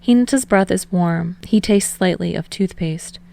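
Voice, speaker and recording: Casting: female audiobook narrator, slightly low register with plenty of vocal fry, reading prose fiction. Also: moderate pace, mellow.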